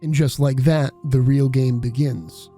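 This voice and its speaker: A man's voice: mid-range voice, steady tone, unhurried, dark tone, faint music behind. A man reading scripted video essay narration in a wry, casual way.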